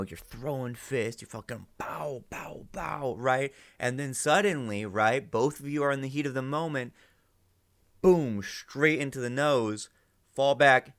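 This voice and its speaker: A man's voice: mid-range voice, a bit bright, no room sound, studio quality. A man giving casual, rambling reaction commentary as a host, often tagging his phrases with "right?". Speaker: host reacting to and analysing an audio drama.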